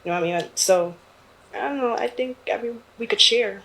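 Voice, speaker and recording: A woman's voice: on the high side, fairly quick, a bit bright, poor audio. A Guyanese woman chatting casually as a guest, informal and slangy. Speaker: talk show guest. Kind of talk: casual chat.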